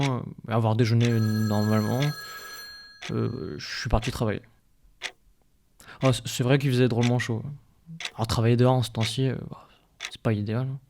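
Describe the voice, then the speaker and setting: A man's voice: medium pitch, noisy background, natural voice. A man playing an ailing, anxious village worker in an audio drama, with a hesitant, stammering, folksy way of talking. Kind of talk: audio fiction podcast acting.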